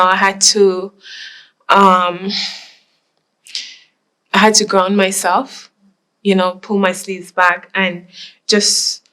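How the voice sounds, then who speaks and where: A woman's voice: mid-range voice, a bit bright. A woman from Jamaica, speaking earnestly and reflectively in a documentary interview. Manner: reflective, earnest, repeats herself. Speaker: interviewee, immigrant mother.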